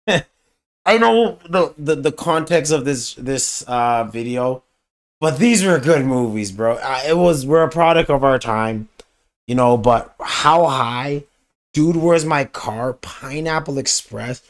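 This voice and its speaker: A young man with a raspy voice hosting a reaction video, talking in a laid-back, slangy, rambling way. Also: mid-range voice, lively intonation, slow. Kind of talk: casual reaction commentary.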